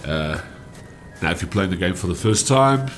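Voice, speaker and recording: Low-voiced man, stuffy. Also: moderate pace, soft background music. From South Africa. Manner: relaxed, explanatory, with frequent "uh" fillers. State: stuffy from a cold. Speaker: gaming channel host. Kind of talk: gameplay walkthrough commentary.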